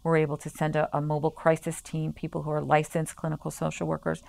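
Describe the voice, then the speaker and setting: A woman's voice: sits low, completely flat delivery, fast, gravelly, darkish tone. A woman speaking earnestly as a staff representative, explaining services in a promotional interview. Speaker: staff representative of a community health organization. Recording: music playing under the voice.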